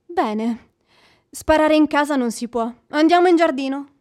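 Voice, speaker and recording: An actress playing a proud, fiery and fickle widow in a theatrical radio drama, imperious and dramatic. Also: female voice, high-pitched, unhurried, darkish tone. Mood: taunting.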